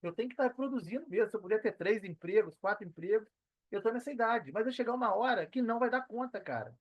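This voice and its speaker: High-pitched Brazilian man in his thirties. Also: expressive, fast talker, dry recording, highs cut off. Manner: conversational, peppered with slang tags seeking agreement. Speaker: podcast guest, personal trainer and affiliate marketer. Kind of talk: casual podcast interview talk.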